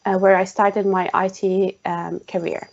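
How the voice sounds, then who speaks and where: A woman with a Jordanian Arabic accent speaks in a middle pitch on an online call. She pauses often to fill gaps with "um" and restarts her sentences as she explains her views. The sound is muffled and lo-fi. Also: level tone, creaky. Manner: hesitant, many "um" fillers. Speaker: tech specialist guest. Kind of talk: online panel conversation. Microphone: video call.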